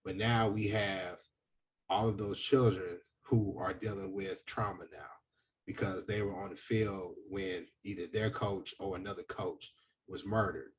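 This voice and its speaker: A man with a deep, booming voice talks earnestly on a casual podcast, pausing often with fillers. His voice sits low and carries a husky, rough edge. He speaks as the show's host. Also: fairly even intonation, far from the mic. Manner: earnest, reflective, hesitant with fillers. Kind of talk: casual discussion.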